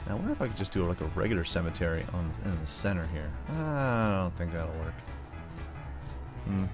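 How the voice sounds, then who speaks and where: Casting: American male gaming host, lowish voice, playful, rambling, thinking out loud, music clearly underneath. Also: animated, brisk. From United States. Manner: playful, often slips into put-on drawls; rambling, thinks aloud while working. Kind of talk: casual gameplay commentary. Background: background music.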